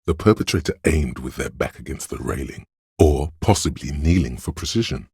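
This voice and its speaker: A man voicing a detective's inner voice of visual reasoning in a game, grave and cerebral, with a deep, gravelly, husky delivery and measured, poetic narration. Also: animated, darkish tone, no room sound, clean studio sound, heavily acted. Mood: analytical, deliberate. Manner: measured, solemn, deliberate, poetic phrasing. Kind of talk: dramatic game narration, second-person.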